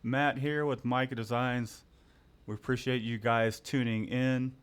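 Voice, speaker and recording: A man's voice: medium pitch, flat delivery, measured pace, smooth. A man hosting a casual live stream about his print shop, rambling good-humoredly with many restarts. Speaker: host and screen printer.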